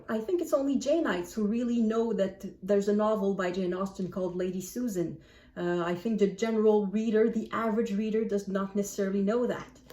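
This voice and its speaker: A woman book vlogger chatting casually to camera in an animated, self-deprecating way. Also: on the high side. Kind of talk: casual chat to camera, answering book prompts. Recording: echoey room, distant microphone.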